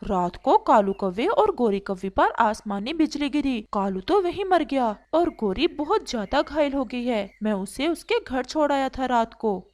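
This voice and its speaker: A woman's voice: high-pitched, sing-song, measured pace, hardly any pauses, a little mellow. A woman voicing a concerned, helpful male pigeon in a cartoon story, speaking in an earnest, plain way. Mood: grave. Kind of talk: Hindi cartoon story acting.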